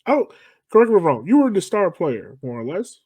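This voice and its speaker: A man's voice: high voice, sing-song, brisk, warm tone, slightly rough, dry recording. An American man interviewing a guest as a podcast host in an informal, colloquial, enthusiastic way. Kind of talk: casual chat interview.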